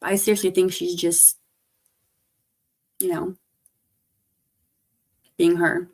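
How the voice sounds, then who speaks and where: Woman streamer, hoarse and gravelly, loose rambling chat, soft trailing delivery. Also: on the low side, little pitch movement, takes it slowly. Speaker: livestreamer. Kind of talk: casual livestream chat.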